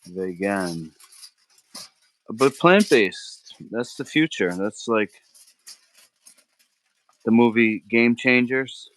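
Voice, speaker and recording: A man's voice: lowish voice, lively intonation, extremely slow, pauses often, a bit bright, no echo. A man hosting a live cooking stream, rambling casually in slang and arguing with his chat as he cooks. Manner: slangy, argumentative, keeps saying bro. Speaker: cooking livestream host.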